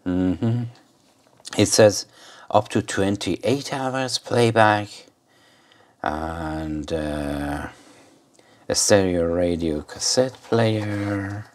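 A man with a low-pitched voice reviews a gadget in an informal, unscripted way. He speaks slowly and hesitantly, wandering from one remark to the next. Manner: rambling, hesitant. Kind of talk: casual unscripted product demonstration.

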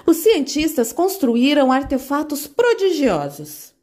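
A woman narrating a children's fairy tale in an expressive, animated way. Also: high voice, slow. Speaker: storyteller.

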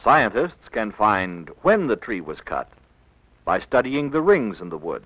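An American man narrating a 1960s educational film in a measured, didactic, clearly enunciated way, on an old film soundtrack. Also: on the low side, sing-song, fairly bright tone, poor audio.

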